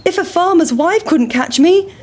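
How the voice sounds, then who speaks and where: A woman voicing a plucky, excitable runaway pancake in a children's storybook, with emphatic exclamations. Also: very high-pitched, moderate pace, a little hiss or hum, pitched above the performer's own voice. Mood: defiant.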